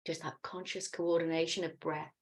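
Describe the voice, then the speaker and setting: A middle-aged woman leading a guided meditation as a teacher, speaking in a calm, soothing, unhurried way with pauses between short instructions. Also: fairly low pitch.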